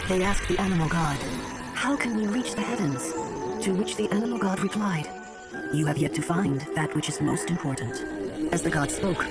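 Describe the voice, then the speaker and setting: A woman narrating a solemn fable as a storybook narrator in a video game, measured and lyrical. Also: lowish voice, creaky. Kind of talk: scripted fairy-tale narration. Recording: loud music under the voice. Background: music.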